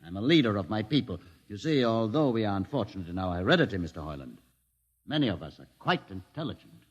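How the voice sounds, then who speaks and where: A calm, sardonic mutant leader. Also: male voice, lowish voice, slightly rough, muffled recording. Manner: measured, ironic, commanding. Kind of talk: 1950s radio drama acting. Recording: old 1950s radio broadcast.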